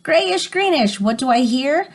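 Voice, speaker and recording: A woman's voice: sits high, lively intonation, a little slow. A woman voicing a nervous, easily panicked little tree frog reading rhyming storybook verse with dramatic exclamations. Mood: cautious.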